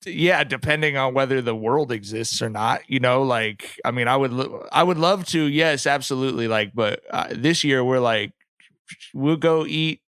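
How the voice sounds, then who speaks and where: An American man in his late thirties hosting a casual sports podcast, talking in a halting, digressive way. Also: medium pitch, fairly bright tone, clear, resonant tone, dry recording, clean studio sound. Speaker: podcast host. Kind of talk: casual sports talk with co-hosts.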